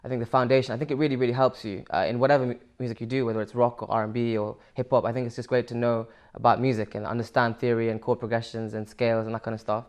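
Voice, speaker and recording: A man's voice: medium pitch, flat delivery, rapid-fire, few pauses. A young man from Sri Lanka, answering interview questions casually with many fillers and restarts. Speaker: musician guest.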